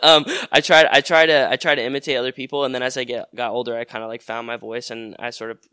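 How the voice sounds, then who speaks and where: A man's voice: mid-range voice, level tone, fast, bright, forceful tone, clear, resonant tone, dry recording, studio quality. A man in his twenties, a musician being interviewed, talking in a rambling casual way with many fillers and restarts. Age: 20s. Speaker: musician guest.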